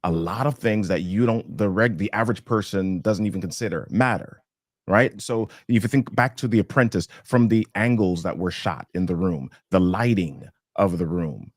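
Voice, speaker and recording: A man's voice: deep voice, normal speed, no room sound, studio quality. A man, a guest business owner, explaining a point on a live panel and often checking in with "right?". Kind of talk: panel discussion. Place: home studio with accent lighting.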